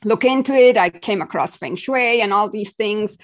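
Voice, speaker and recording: A woman from Switzerland, a podcast guest telling her story in casual interview talk, halting and restarting, with small laughs. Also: medium pitch.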